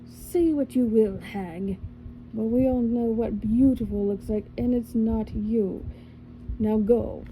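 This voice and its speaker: A haughty young prince from a storybook speaks with cold scorn, as a woman reading the tale gives him her own voice. The delivery is unhurried and the tone is mellow and dark, though the pitch sits a touch high. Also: a little hiss or hum, low-quality recording. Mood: scornful. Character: arrogant cruel young man, a prince.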